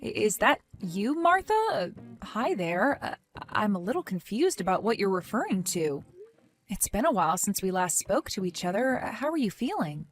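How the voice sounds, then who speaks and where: A woman voicing a young pregnant wife who speaks quietly and hesitantly, pleading to understand. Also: mid-range voice, very animated, moderate pace, faint music behind. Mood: bewildered. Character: soft-spoken young pregnant wife, confused and patient.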